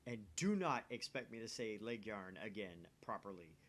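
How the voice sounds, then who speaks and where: A man reading a visual novel aloud for a let's play, in a dramatic, expressive way with casual asides. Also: fairly low pitch, moderate pace, a little hiss or hum. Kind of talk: voice-acted read-through with casual asides.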